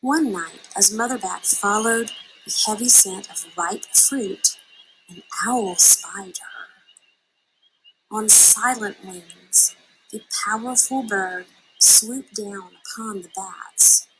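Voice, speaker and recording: A woman reading a children's storybook aloud in an expressive, dramatic manner, drawing out her words. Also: mid-range voice, slight background noise, rough recording. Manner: unhurried, dramatic delivery with drawn-out words. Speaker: storybook reader.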